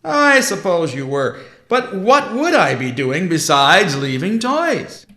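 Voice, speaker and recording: A man voicing Santa Claus, a jolly old man who talks in a hearty, drawn-out way and chuckles. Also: high voice, sing-song, sharp tone, roomy sound, voice pushed up, full character voice. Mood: jovial.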